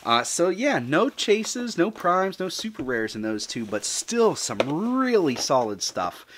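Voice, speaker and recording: A man's voice: a little high, big pitch swings, measured pace, a bit bright. A man in his thirties hosting an unboxing video with casual, upbeat commentary full of fillers.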